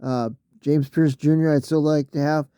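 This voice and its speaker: A man losing his voice, talking casually through a solo mock draft, halting with fillers and often clearing his throat. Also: a little high, flat delivery, warm tone, dry recording, studio quality.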